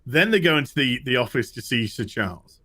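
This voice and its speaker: A man's voice: medium pitch, lively intonation, a little fast, pushed and bright. A British man co-hosting a TV review show, telling things in a casual, rambling way. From United Kingdom. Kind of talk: casual recap chat.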